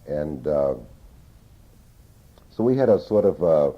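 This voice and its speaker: An older man recalling his childhood in an oral history interview, speaking slowly and reflectively with many hesitations, on an old tape recording. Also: very deep voice, animated. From Newton, Massachusetts. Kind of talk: reminiscing in an interview. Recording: dull lo-fi 1981 tape.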